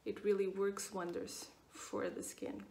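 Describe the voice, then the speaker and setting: A woman's voice: mid-range voice, very animated, measured pace, creaky, dark tone, recorded from a distance. A woman of twenty-nine from Portugal, speaking English with a Portuguese accent, chats casually about her skincare routine, repeating words and using lots of fillers. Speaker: skincare vlogger. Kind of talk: casual product review. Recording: echoey room, voice off-mic.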